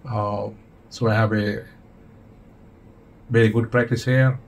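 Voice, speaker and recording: Bangladesh-raised doctor in his 40s, low monotone voice, slow careful english, office. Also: a little hiss or hum. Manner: plain, measured, slightly non-native English. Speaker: physician, interview guest. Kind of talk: interview answers.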